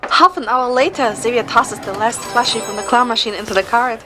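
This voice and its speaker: A woman narrating a scripted first-person story in a measured, descriptive way. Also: a little high, music in the background.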